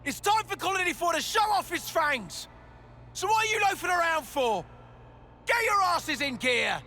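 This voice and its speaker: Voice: extremely high pitch, big pitch swings, normal speed, bright, forceful tone, raised voice, slight background noise, full character voice. An actor voicing a small, cocky male creature boss in a game cutscene, bossy and boisterous. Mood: bossy, impatient. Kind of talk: animated game cutscene acting.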